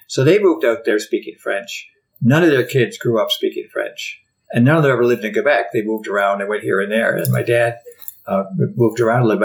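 An older Canadian man being interviewed, rambling and halting with frequent restarts. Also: medium pitch, creaky, low-quality recording. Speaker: podcast guest. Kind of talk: casual interview conversation.

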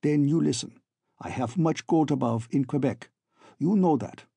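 A man's voice: fairly high pitch, normal speed, gravelly, warm tone, husky, dry recording. Man voicing a gloating, oily hunchback villain. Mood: boastful, smug. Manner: broken foreign-sounding syntax, ironic, oily. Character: gloating hunchback villain, falsely friendly, ingratiating, lustful schemer.

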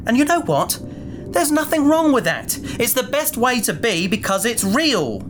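A man's voice: extremely high pitch, big pitch swings, normal speed, a bit bright, slight background noise, exaggerated voice. A man playing a rational, sceptical crew mate who lectures in an articulate, emphatic way in a sci-fi audio drama. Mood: frank, reasoning.

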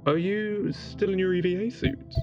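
An actor playing a playful, loyal spaceship captain in a sci-fi audio drama, with a jokey, mock-formal manner. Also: male voice, sits high, fairly even intonation, normal speed, highs cut off, music in the background. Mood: worried.

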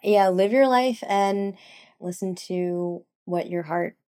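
A woman's voice: medium pitch, takes it slowly, dark tone. A woman guest in a casual interview, talking in a rambling way with lots of fillers and frequent laughs. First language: Cantonese. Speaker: guest, comics artist.

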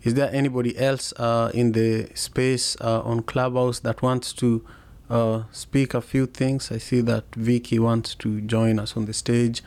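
A man hosting a podcast in a relaxed, casual chat, speaking haltingly with many fillers and restarts. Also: mid-range voice. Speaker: podcast host. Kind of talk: casual live audio-room chat.

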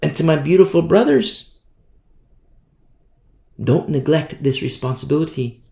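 A man preaching a sermon in an emphatic, repetitive style, swinging from hushed to loud. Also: a little high, measured pace, vocal fry. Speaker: pastor. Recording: roomy echo.

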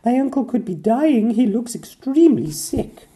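A man's voice: very high-pitched, very animated, moderate pace, mellow, voice pushed up. A man voicing a spirited young girl, a princess who works as a doctor, in a storybook reading. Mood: urgent.

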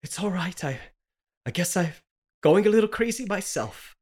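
A woman's voice: lowish voice, lively intonation, normal speed, darkish tone, a little hiss or hum, close to an everyday voice. A woman playing an anguished, guilt-ridden husband, pleading melodramatically in a campy old-style radio horror play. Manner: overwrought, pleading. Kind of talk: campy 1930s radio drama table read.

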